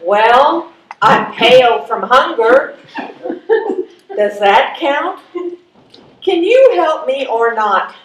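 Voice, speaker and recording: A woman's voice: on the high side, sing-song, extremely slow, pauses often, full character voice. A woman playing a wry, stubborn, hungry caller of seventy-three in a live readers theatre reading. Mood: deadpan. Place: live stage reading in a room. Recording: echoey room, distant microphone.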